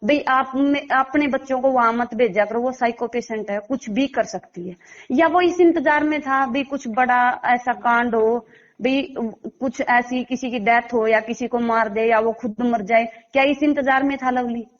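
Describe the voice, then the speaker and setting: A high-voiced woman speaks with a tinge on a muffled, lo-fi call recording. She answers as an interviewee, insistent and impassioned. She leans on rhetorical questions and repeats her points. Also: moderate pace. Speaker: interviewee, village head. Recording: dull lo-fi phone call recording.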